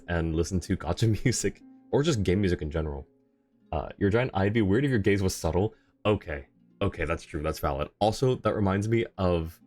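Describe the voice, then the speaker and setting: Low-voiced man chatting on a game stream. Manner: easygoing, rambling, reads comments aloud. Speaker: live streamer. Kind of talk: casual chat with viewers while gaming.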